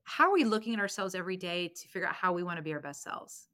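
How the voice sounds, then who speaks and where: A woman's voice: fairly low pitch, expressive, very fast, pushed and bright, no echo. Candid middle-aged woman, dry close recording. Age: 49, turning fifty next month. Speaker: podcast guest, business consultant and strategic planner. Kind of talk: conversational interview answers.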